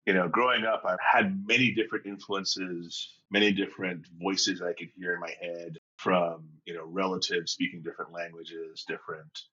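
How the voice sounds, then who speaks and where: Low, husky-voiced middle-aged coach. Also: male voice, little pitch movement, normal speed, few pauses, sharp tone, muffled recording. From England and Jamaica. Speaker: executive and leadership coach. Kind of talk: reflective conversational storytelling.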